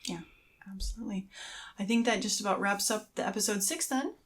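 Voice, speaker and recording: A woman's voice: mid-range voice, brisk, a little hiss or hum. A woman co-hosting a podcast in casual, conversational chat. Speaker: podcast co-host.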